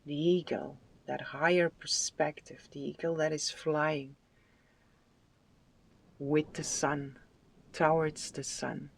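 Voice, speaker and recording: Slow soft-spoken tarot reader, calm and soothing, goes whispery sometimes, faint hiss behind. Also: medium pitch, gravelly, low-quality recording. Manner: soft, soothing, unhurried delivery with drawn-out phrases. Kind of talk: spiritual tarot reading with affirmations.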